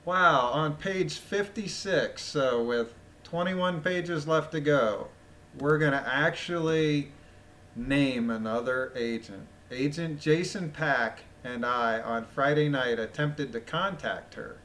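A man with a phlegmy voice reading a document aloud with casual, incredulous, mocking commentary, often clearing his throat. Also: fairly high pitch, takes it slowly, fairly bright tone, slight background noise. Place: voice-over of a screen recording.

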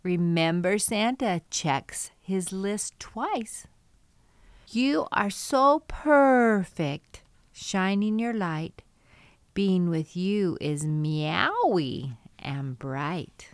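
A middle-aged woman hosts a storytime for little kids. She takes her time, stretching key words with expressive emphasis. The sound is dry, with very little room in it. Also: lowish voice, drawn-out words, no echo. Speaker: children's storytime host. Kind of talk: read-aloud storytelling for young children.

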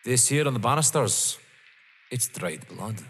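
A man acting in an audio drama as a dogged, stern police inspector, pointedly courteous, with a rough, husky voice. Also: medium pitch, expressive, unhurried, a bit bright, a little hiss or hum. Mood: accusing. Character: dogged, stern male police inspector, dryly polite and accusing. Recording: long echoing hall reverb.

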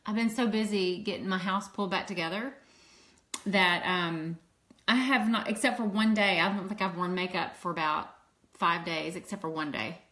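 A woman's voice: on the low side, moderate pace, sharp tone. A woman in her fifties with a mild Southern accent, chatting on a phone livestream at home, greeting viewers and laughing easily. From Louisiana, US. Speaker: makeup seller hosting a livestream. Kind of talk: casual livestream chat. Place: indoors at home, at a table. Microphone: phone camera close up.

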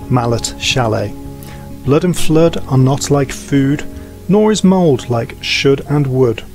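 A British man in his fifties, an English teacher, reciting a poem with careful, deliberate enunciation. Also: medium pitch, darkish tone.

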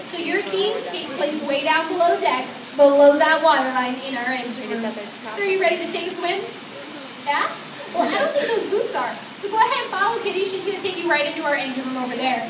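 A woman hosts a live outdoor theme park show as its director, upbeat and energetic, steering the crowd and cast. Her voice sits very high. It comes through park speakers from a distance, echoing, with a loud crowd and noise around it. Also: brisk. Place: outdoor theme park stage by a water tank.

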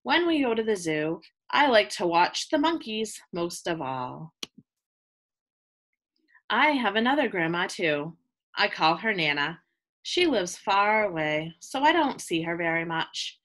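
A woman with a bright, forward voice reads a picture book aloud for young children. She speaks as a teacher would, with expressive phrasing at a medium pitch. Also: unhurried. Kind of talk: children's read-aloud, scripted narration.

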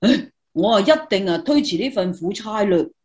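An actress with a Hong Kong Cantonese accent playing an eager, easily amazed young student reporter in a radio drama, exclamatory and expressive. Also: female voice, medium pitch, moderate pace, natural voice. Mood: curious, astonished.

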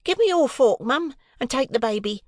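Man doing a high-pitched bossy nursemaid. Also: lively intonation, moderate pace, no room sound, studio quality. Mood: bossy scolding. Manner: bossy, scolding. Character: bossy, brisk working-class nursemaid woman. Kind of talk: audiobook character voice in a Victorian novel.

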